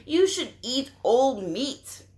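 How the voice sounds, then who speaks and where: An eager, bossy little storybook animal, played by a woman. It calls out cheery advice in a high sing-song, stretching words slowly and swooping up and down. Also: big pitch swings, a little mellow, heavily acted. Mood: cheery urging. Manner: sing-song, drawn-out, exclaiming.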